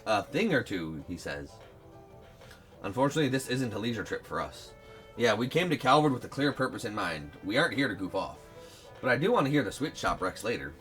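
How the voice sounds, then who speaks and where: A man with a lively, swinging delivery hosts a video game playthrough. He reads dialogue aloud and comments, with soft game music behind him. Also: lowish voice, a little fast. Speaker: let's play host.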